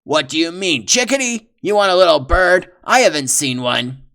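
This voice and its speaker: A man voicing a cowardly, blubbering male trapper who wails and pleads in a high, loud voice. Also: animated, normal speed, smooth. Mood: pleading.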